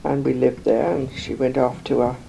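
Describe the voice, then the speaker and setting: An older woman from England, slowly recalling her life in an interview, rambling with many pauses and fillers. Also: a bass voice, fairly even intonation, gravelly, mellow, a little hiss or hum, poor audio. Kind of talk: oral history recollection.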